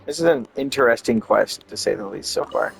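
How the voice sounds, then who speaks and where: A man's voice: medium pitch, fairly quick, creaky. A man giving casual, unscripted commentary as he plays a video game, with music behind him. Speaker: gameplay streamer. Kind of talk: casual game commentary, reacting and reading aloud.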